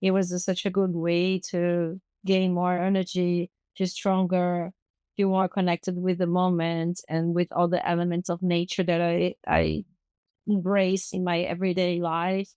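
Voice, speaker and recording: A woman's voice: lowish voice, little pitch movement, a little slow, darkish tone, clear, resonant tone, no echo, studio quality. A woman from Brazil, a native Portuguese speaker with a Brazilian Portuguese accent, talking thoughtfully as a podcast guest and searching for her words. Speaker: guest artist and activist. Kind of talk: podcast interview.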